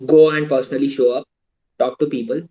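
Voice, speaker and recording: A man's voice: medium pitch, steady tone, brisk, warm tone, rough recording. A young man with an Indian English accent, speaking earnestly as a guest speaker, giving students advice over a video call. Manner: earnest, advising, switches into Hindi asides.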